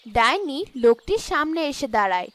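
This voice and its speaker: A high-pitched woman narrator tells a scripted cartoon tale. Her delivery swings widely in pitch, and the recording is dry and close. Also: big pitch swings, normal speed, a bit bright, no room sound.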